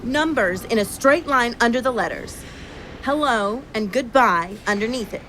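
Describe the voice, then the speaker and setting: A woman's voice: a little high, lively intonation, normal speed, a bit bright, slight background noise, rough recording. A woman acting in an audio drama as an anxious, apologetic royal who gives orders in a hesitant, earnest way. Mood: flustered.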